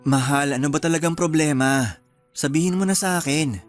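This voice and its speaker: A man playing a gentle, apologetic young husband in a Filipino radio drama, hesitant and placating, with a Filipino accent. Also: on the high side, fairly quick, faint music behind, close to an everyday voice. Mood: worried, probing. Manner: hesitant, placating, soft-hearted.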